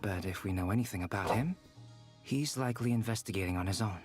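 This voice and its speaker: A man playing a polite, reserved scholar in a video game, speaking in a measured, formal way. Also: fairly low pitch, soft background music, natural voice. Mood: calm, formal.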